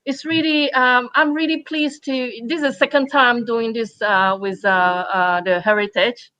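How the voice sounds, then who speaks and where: A woman from Taiwan, a painter speaking on a panel in halting English with many fillers and restarts. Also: a little high, fairly even intonation, pushed and bright, dry recording. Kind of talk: panel discussion.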